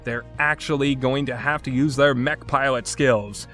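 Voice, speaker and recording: A man's voice: mid-range voice, fairly even intonation, normal speed, fairly bright tone, soft background music. A man giving an opinionated video game review in an exasperated, sarcastic and emphatic way, with casual phrasing.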